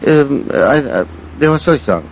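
A man's voice: mid-range voice, animated, normal speed, gravelly, slight background noise. A man throwing in loose comic interjections.

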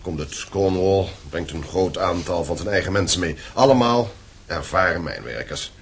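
A man's voice: low-pitched, expressive, moderate pace, a little hiss or hum. An actor playing a stern, domineering landowning father in a radio play, commanding and formal. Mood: grave, purposeful.

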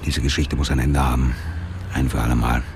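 Rumbling, coarse ex-convict man. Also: flat delivery, a little fast, creaky, background noise. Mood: weary, resolute. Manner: blunt, coarse, colloquial. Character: crude, world-weary male ex-convict out after fifteen years. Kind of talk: colloquial first-person radio drama monologue.

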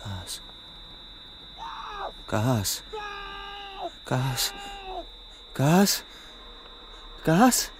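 An actor playing a panicking soldier in a radio drama, breathless and urgent, repeating himself tensely. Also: male voice, mid-range voice, wildly swooping pitch, very slow, pauses often, raised voice, background noise, heavily acted. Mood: alarmed. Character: panicking soldier in a gas mask, tense inner voice.

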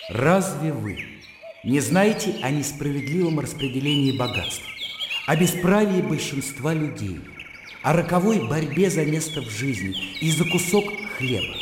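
A man's voice: fairly high pitch, sing-song, moderate pace, noisy background. Lightish male voice, earnest bookish scholar, lecturing, radio drama, echoey, music behind. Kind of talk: radio play dialogue. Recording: echoey reverberant sound with music.

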